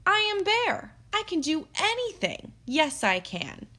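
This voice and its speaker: A woman voicing a big, boastful, stubborn bear in a children's storybook, making loud, emphatic, chanting declarations. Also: very high voice, huge pitch swings, a little slow, pitched above the performer's own voice, full character voice. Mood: proud, boastful. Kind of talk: children's storybook read-aloud.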